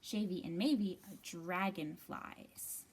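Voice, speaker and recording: A woman's voice: fairly low pitch, takes it slowly, husky. A woman hosting a children's storytime, reading a picture book aloud and explaining it simply and expressively. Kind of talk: animated children's read-aloud.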